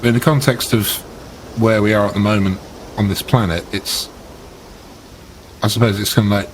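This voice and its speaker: Low-pitched man, thoughtful tabletop player. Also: fairly even intonation, moderate pace, slight background noise, rough recording. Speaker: player in a tabletop role-playing game. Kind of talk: casual game discussion.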